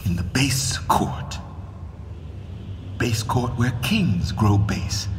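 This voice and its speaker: A man's voice: mid-range voice, very animated, drawn-out words, a little hiss or hum. A man playing a defeated king in a Shakespeare radio play, declaiming and rising to cries. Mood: bitter. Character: defeated male king on a castle wall, dramatic. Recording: echoey, roomy hall reverb.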